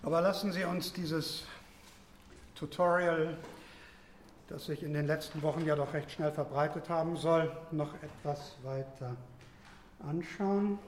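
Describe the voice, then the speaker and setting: A man acts out an analytical university lecturer in a radio play. He speaks in wordy, academic phrases in a fairly high voice, in an echoey room with faint noise. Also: natural voice. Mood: analytical, measured. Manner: academic, wordy, hesitant pauses. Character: analytical male seminar lecturer, wordy and probing.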